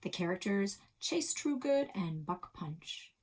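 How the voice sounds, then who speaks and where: A woman reading a children's novel aloud as a storytelling host, with expressive delivery. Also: mid-range voice, takes it slowly. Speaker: library read-aloud host.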